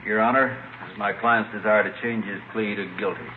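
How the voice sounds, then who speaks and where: An actor playing a courteous defense lawyer in an old radio drama, speaking with crisp formality. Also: male voice, on the low side, fairly even intonation, a little fast, natural voice. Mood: formal, respectful. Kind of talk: 1950s radio drama acting. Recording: muffled, noisy old radio recording.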